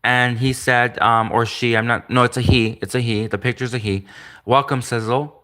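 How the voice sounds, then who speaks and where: A man hosts a solo live stream, chatting to viewers in loose, rambling sentences and repeating his points. His voice is soft, with a bright edge, and some listeners hear it as gender-ambiguous. He sits at a middle pitch and sounds earnest. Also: fairly even intonation, moderate pace. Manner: repetitive, earnest, restates points often; greets viewers and reads comments aloud.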